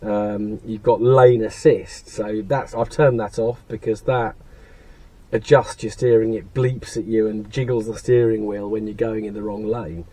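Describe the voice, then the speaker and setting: A British man with a husky, croaky voice from laryngitis, rambling low-key to camera from the seat of his parked van. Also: flat delivery, measured pace, warm tone, poor audio. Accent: British English. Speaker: car channel host. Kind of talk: casual rambling chat to camera. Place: parked van cab, seated.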